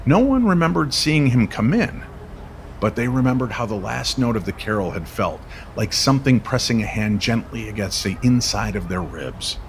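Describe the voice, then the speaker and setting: A man reading scripted story narration in an old-time radio style, measured and dramatic. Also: medium pitch, animated, a little hiss or hum. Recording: music bed under the voice. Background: music.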